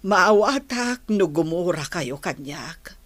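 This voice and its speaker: A woman playing a worried, apologetic grandmother with a secret in an audio drama, speaking haltingly and pleadingly. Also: lowish voice, expressive, no room sound. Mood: remorseful, pleading. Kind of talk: dramatic audio story acting in Philippine language.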